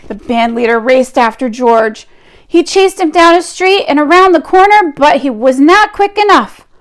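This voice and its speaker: A woman reading a picture book aloud to children, expressive, with drawn-out emphasis and chatty asides. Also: high voice.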